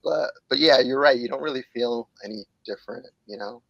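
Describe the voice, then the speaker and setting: A man's voice: medium pitch, brisk, vocal fry, no room sound, muffled recording. A man in his late forties, a jiu-jitsu instructor and author from New York, speaking candidly and reflectively as a podcast guest, with frequent fillers. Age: 40s. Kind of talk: podcast interview.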